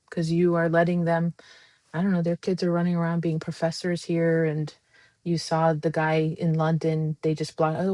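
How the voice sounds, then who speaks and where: Podcast guest, woman, earnest and fervent, low-pitched, dry close sound. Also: darkish tone, dry recording. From United States. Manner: earnest and impassioned, frequent ums and restarts. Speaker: guest, democracy advocate. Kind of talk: conversational podcast interview.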